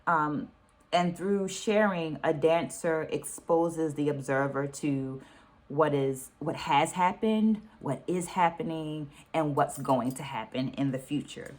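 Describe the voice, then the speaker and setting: A middle-aged woman talking to viewers in a reflective book vlog, speaking articulately and deliberately, repeating phrases for emphasis. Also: sits low, darkish tone. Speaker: book reading vlogger, dancer and educator. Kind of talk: reflective book-takeaway vlog, semi-scripted talk to viewers.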